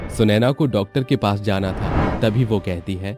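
A man's voice: on the low side, normal speed, music in the background. A man narrating a scripted family story as a storyteller.

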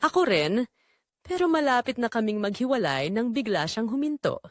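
Woman performing guilt-ridden young woman, lively swooping intonation, emotive confessional narration, audio drama, very dry recording. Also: fairly high pitch, moderate pace, dry recording. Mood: tense longing.

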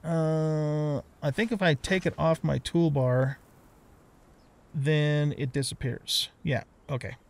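Higher-pitched middle-aged man, rambling game commentary. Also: fairly even intonation, slow pace. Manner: rambling, thinking aloud. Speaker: let's play host. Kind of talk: casual gameplay commentary.

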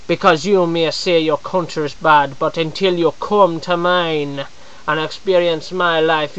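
A performer voicing an indignant adult man who rants straight at the viewer, padding his complaints with "man" and "I mean" and rising into high, shouting exasperation. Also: clean tone, lo-fi sound, lightly acted. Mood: scornful. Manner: aggrieved rant, frequent "man" and "I mean" fillers. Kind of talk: comedic character monologue to camera.

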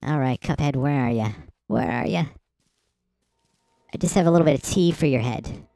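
A woman hosting a let's play, giving excitable, playful game commentary with gasps and shrieks at surprises. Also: deep voice, moderate pace, creaky, dry recording, clean studio sound, faint music behind.

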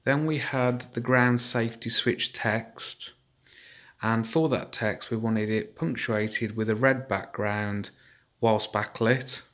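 Low-voiced man explaining a hobby build. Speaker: hobbyist builder presenting his project.

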